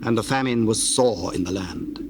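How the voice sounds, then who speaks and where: A man narrating a dramatized scripture, reading in a formal, measured way. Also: lowish voice, background noise.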